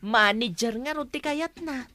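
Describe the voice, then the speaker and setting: A woman's voice: a little high, a little slow, sharp tone. A woman with a Filipino accent acting an exasperated schoolteacher wife who nags and scolds in a radio drama. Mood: chatty. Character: exasperated nagging schoolteacher wife, the household breadwinner. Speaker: actor in an audio drama.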